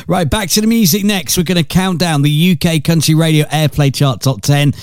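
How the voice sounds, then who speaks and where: A hoarse man presenting a radio show in a chatty, upbeat way. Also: a little high, brisk, darkish tone, dry recording, studio quality. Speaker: radio host.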